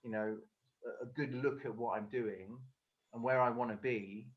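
A man's voice: medium pitch, fairly even intonation, moderate pace. Hesitant English man on a dull video call. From England. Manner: hesitant, many fillers and restarts. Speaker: guest, speaker coach. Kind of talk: casual conversational interview.

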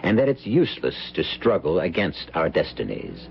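Deep, portentous male radio host. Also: big pitch swings, normal speed, creaky. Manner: portentous, theatrical. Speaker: radio drama host and narrator. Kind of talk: dramatic scripted old-time radio narration. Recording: muffled lo-fi old radio, music behind.

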